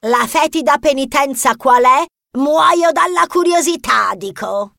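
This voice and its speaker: An eager, bold young storybook character for an audiobook. The voice is high and animated, full of exclamation. It is recorded close, with a very dry sound. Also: female voice, a little slow, creaky, dry recording, exaggerated voice. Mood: impatient curiosity.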